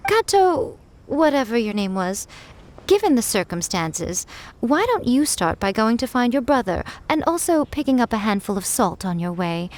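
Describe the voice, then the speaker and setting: An actress playing a poised, sly shrine priestess in a video game, teasing and smoothly condescending. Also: female voice, on the high side, sing-song, moderate pace, a little mellow. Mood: nonchalant, sly.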